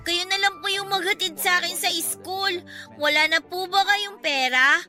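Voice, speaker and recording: Very high, piercing little Filipino girl. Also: pushed and bright, full character voice. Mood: pleading. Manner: childlike, polite, earnest. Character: innocent, pleading little orphan girl, timid and polite. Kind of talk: Filipino radio drama acting.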